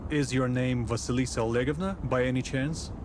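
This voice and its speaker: A man acting a dry, authoritative detective in a visual novel set in 19th-century Russia, with a brisk, commanding manner. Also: mid-range voice, steady tone, noisy background, natural voice. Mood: skeptical.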